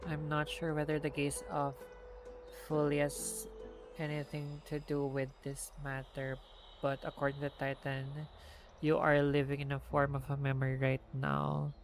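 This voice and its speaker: A Filipino-accented woman streams a story game, reading the dialogue as she goes. Her voice sits low with a lot of gravelly fry, over faint game music. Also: monotone, normal speed. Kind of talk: reading game dialogue aloud with live reactions.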